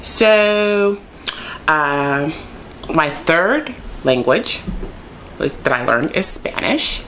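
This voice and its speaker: A woman vlogger chatting casually to camera, rambling with lots of ums and likes and jumping up in pitch when excited. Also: very slow, pauses often, a little hiss or hum, poor audio. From United States. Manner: animated, jumps high when excited.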